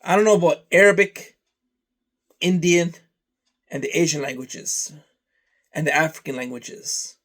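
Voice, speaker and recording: Slow rambling man, Serbian native speaker. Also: a little high, fairly bright tone. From Canada. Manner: rambling, slow, trailing off into lists. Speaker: vlogger. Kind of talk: casual vlog talk.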